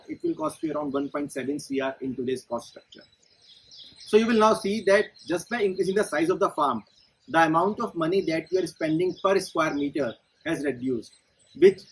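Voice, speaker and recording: A man's voice: a little high, slight background noise, low-quality recording. A man in his forties with an Indian English accent, explaining a farming business to camera step by step, standing in a greenhouse. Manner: methodical, explains step by step with figures. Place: inside a greenhouse among leafy crops.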